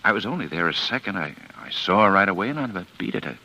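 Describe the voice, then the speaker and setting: An actor playing a troubled, halting husband in a 1940s radio drama, with a gravelly, husky voice and an anguished manner. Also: male voice, low-pitched, sing-song, sharp tone, full character voice, poor audio. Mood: shaken, hesitant. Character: troubled, halting husband under accusation, male.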